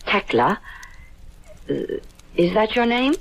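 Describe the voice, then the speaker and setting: An actress playing an earnest, flustered film star in an old radio drama, speaking hesitantly and breaking off. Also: female voice, mid-range voice, very animated, slow pace, heavily acted. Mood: polite, awkward. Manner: hesitant, breaking off and repeating herself. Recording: noisy old radio recording.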